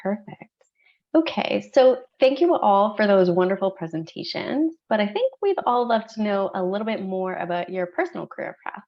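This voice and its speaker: A woman's voice: medium pitch, sing-song, normal speed. A woman moderating a webinar panel, thanking speakers and moving things along in an upbeat way. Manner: warm, upbeat thanks and transitions. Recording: dull lo-fi webinar audio.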